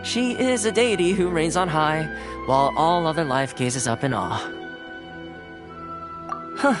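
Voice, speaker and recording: Lively smooth-talking young fixer. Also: male voice, high-pitched. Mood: wry, musing. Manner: smooth-talking, easygoing, persuasive. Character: affable, wry young male fixer and go-between. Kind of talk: video game dialogue acting.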